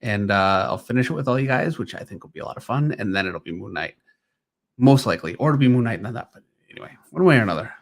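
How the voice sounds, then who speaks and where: A man's voice: medium pitch, expressive, quick pace, gravelly. A man hosting a drawing live stream, chatting casually and easily, often trailing off mid-thought. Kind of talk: casual unscripted chat.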